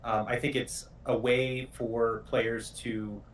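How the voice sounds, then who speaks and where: A man's voice: mid-range voice, level tone, slow pace, a little hiss or hum. A male game developer talking casually in an interview. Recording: distant mic.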